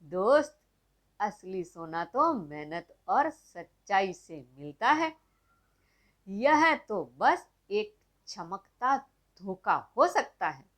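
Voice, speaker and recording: A woman voicing a wise, kind female sparrow in a children's story, speaking in a gentle, advising way. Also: medium pitch, sing-song, drawn-out words, heavily acted. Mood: gently advising.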